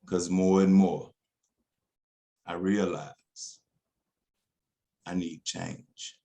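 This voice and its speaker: A man reciting spoken word poetry in a deliberate, dramatic way with emphatic pauses. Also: sits low, fairly even intonation, vocal fry, warm tone. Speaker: poet.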